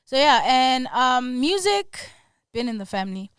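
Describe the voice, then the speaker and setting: Smooth-voiced young woman. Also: high voice, unhurried, no echo, studio quality. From South Africa. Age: young adult. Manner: relaxed, trailing, filler-heavy. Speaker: guest musician. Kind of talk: casual podcast interview.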